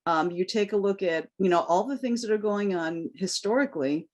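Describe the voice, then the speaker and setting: A woman's voice: mid-range voice, normal speed. A woman from New York whose first language is Cantonese, a guest giving a candid, impassioned interview answer. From New York, United States. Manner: earnest, impassioned.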